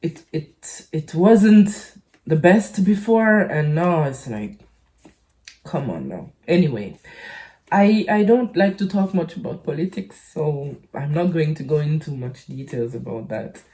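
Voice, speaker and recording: Low-pitched, unhurried woman, hesitant talker. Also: expressive, dark tone. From French Caribbean island. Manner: hesitant, halting, rambling with frequent pauses and repeats. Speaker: YouTube channel host. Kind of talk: casual personal vlog talk.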